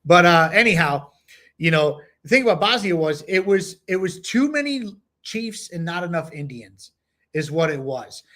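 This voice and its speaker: A man's voice: a little high, very animated, moderate pace, sharp tone. A man co-hosting a podcast, chatting casually with many fillers and reading from notes. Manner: rambling, many "you know" fillers. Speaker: podcast co-host. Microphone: home computer mic, no camera.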